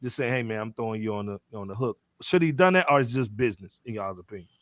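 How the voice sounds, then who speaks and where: A man's voice: lowish voice, fast talker, no room sound. An American man hosting a music panel, talking casually in street slang and bantering with his panelists. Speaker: podcast host moderating a music panel.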